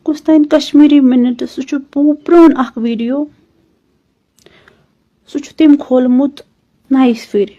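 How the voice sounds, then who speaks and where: A dull, lo-fi phone recording of a Kashmiri-accented woman. Her voice is high and soft-toned rather than bright. She makes a pleading personal appeal, repeating her requests. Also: fairly even intonation, slow, long pauses.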